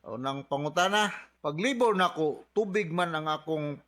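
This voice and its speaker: A man with a Cebuano accent who hosts a radio advice program as a doctor, speaking in a measured, lecturing way. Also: on the high side, fairly bright tone. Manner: measured, lecturing, code-switching.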